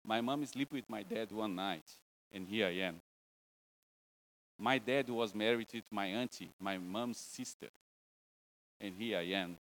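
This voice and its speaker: A man's voice: lowish voice, unhurried, long pauses, a bit bright, poor audio. A man from Brazil, preaching an informal sermon in English with a Brazilian Portuguese accent, broken phrasing and a joking, self-deprecating manner. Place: church.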